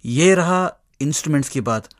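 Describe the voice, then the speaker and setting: A man's voice: fairly high pitch, lively intonation, moderate pace. A man putting questions to a guest in a radio interview.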